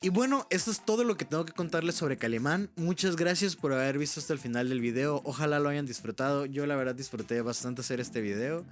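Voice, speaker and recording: A man's voice: fairly high pitch, brisk, few pauses. A man reading an informal documentary voice-over, candid and open about what is uncertain. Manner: candid, openly unsure of facts. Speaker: video essay creator and narrator. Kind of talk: informal scripted documentary narration.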